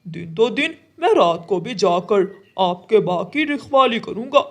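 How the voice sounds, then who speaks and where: A boastful crow watchman from a children's cartoon, acted by a woman. He talks slowly and emphatically at a medium pitch, proud of his hard work. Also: animated. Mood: eager boastful.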